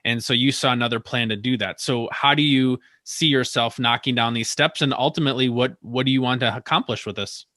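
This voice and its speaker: Guy hosting a podcast, bright punchy voice, really dry sound, casual questions. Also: mid-range voice, fairly quick, few pauses, dry recording. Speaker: podcast host, interviewer. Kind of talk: casual interview chat.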